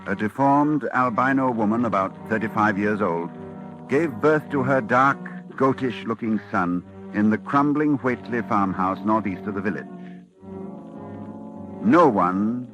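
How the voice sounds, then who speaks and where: An anxious learned doctor warning listeners in a 1940s radio horror drama. His voice is fairly low, formal and solemn, with a faint musical bed beneath. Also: expressive, a little slow, long pauses, music in the background, low-quality recording. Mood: ominous narration. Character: grave, anxious male scholar warning of cosmic horror. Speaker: narrator.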